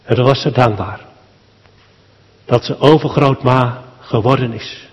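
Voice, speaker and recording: Slow, solemn man praying in a reverberant church. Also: mid-range voice. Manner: solemn, measured, in short phrases with deliberate pauses. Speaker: pastor leading a funeral service. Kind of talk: spoken public prayer at a church thanksgiving service. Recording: long church hall reverb.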